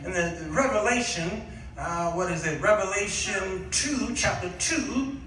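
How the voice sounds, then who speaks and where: An American man preaching in an animated, folksy storytelling style to a congregation. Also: high-pitched, measured pace, faint music behind. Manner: animated, folksy, acting out exchanges; rhetorical questions to the congregation. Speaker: guest preacher. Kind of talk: storytelling sermon. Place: church, before a congregation. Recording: echoey hall, picked up from far off.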